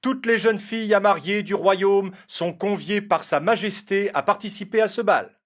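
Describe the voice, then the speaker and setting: A man's voice: high voice, moderate pace, lightly acted. A man playing a proud royal herald in a children's storybook, proclaiming in a formal, stately, declamatory manner.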